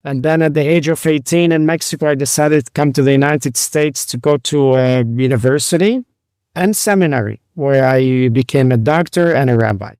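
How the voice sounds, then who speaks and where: 60s guy from lebanon, rabbi and doctor, lots of uhs, smooth clear voice, dry close sound. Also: medium pitch, a little mellow, dry recording. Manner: halting, many "uh" fillers and restarts. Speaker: guest, rabbi and physician. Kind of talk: conversational interview storytelling.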